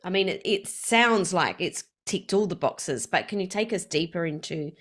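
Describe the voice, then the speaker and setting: A woman's voice: lowish voice, lively intonation, normal speed, a bit bright, slight background noise. A woman hosting an interview, listening closely, summing up what she hears and asking follow-up questions. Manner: attentive, sums up and asks questions. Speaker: interview host. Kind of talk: casual interview talk.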